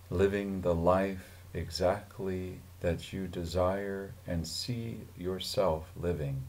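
A man with a very low voice guides a meditation session as a yoga teacher. He speaks very slowly and soothingly, leaving long pauses between short phrases. His delivery stays calm and unhurried. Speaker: yoga nidra meditation instructor.

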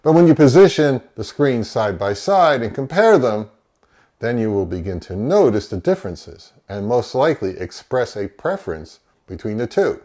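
An American man in his fifties presents a review in an emphatic, opinionated way. His medium-pitched voice moves in lively swings as he puts rhetorical questions and answers them himself. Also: normal speed. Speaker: audio reviewer and host. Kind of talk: semi-scripted product review to camera. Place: small indoor home office.

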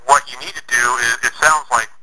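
A man from a sheriff's department on a phone call, speaking calmly and trying to get a word in. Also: medium pitch, a little fast. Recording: phone call recording with noise.

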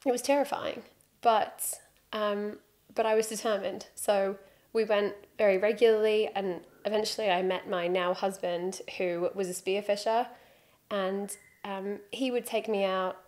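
British woman telling a lively story. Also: medium pitch, unhurried. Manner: animated storyteller with hand gestures, self-mocking humor. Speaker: podcast guest, freediving instructor. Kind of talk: relaxed interview chat, storytelling. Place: studio sofa with plain wall. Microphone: headphones and desk microphone.

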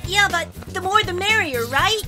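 A woman voicing an eager, sweet little boy fox sidekick, earnest and excitable, in a cartoon dub. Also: very high-pitched, normal speed, loud music behind the voice. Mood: excited. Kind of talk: animated comic dub.

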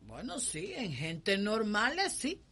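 An actress playing a blunt, folksy enslaved nursemaid with a Cuban accent and a colloquial, teasing way of talking in a radio soap. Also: female voice, medium pitch, huge pitch swings, slow pace, fairly bright tone, full character voice. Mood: wary. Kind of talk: radio soap opera acting.

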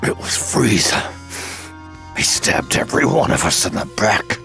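An actor playing a badly wounded alien warrior in an anime game, straining raspily to speak in broken, gasping phrases. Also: male voice, on the low side, very animated, a little slow, bright, forceful tone, full character voice. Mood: bitter, weary. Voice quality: raspy, strained. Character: badly wounded, loyal male alien warrior, near death. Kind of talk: dramatic dubbed anime game acting. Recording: music under the voice. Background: music.

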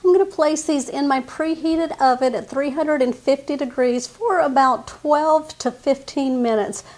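A woman's voice: high-pitched, steady tone, moderate pace, a little mellow. A woman, giving friendly step-by-step baking instructions in her home kitchen. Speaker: cooking channel host. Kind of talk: casual cooking demonstration.

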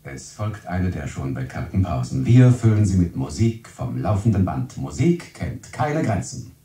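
An actor playing a smooth radio announcer presenting a music programme within a radio drama. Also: male voice, sits low, big pitch swings, normal speed. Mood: showy, breezy. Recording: roomy, distant, with loud music.

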